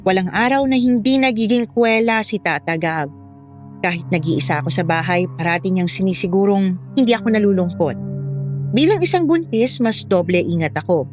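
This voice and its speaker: A woman's voice: medium pitch, animated, a little fast, darkish tone. A young woman giving scripted first-person narration of a horror story. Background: music.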